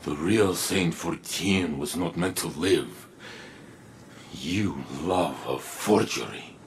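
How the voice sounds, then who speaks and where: An actor playing an anguished robot warrior who doubts his own existence, speaking slowly and solemnly with a gravelly voice. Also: low-pitched, slight background noise. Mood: anguished, halting. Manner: slow, halting, solemn. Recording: music behind the voice.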